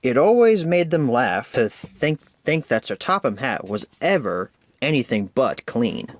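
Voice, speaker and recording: Animated man reading a children's story. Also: medium pitch, measured pace, dry recording. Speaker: storybook narrator. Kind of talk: read-aloud children's story narration.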